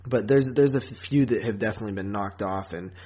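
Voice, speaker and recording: A man with a fairly low voice co-hosts a film podcast. He talks casually and informally, with the open enthusiasm of a devoted fan. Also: fairly quick, a little mellow. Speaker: podcast co-host. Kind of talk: casual chat about horror films.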